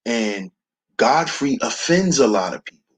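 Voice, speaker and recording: A man with a baritone voice hosting a slow, preachy commentary monologue, calling his listeners brothers. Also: lively intonation, slightly rough. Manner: preachy, addresses listeners as brothers, repeats "see". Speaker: commentary channel host.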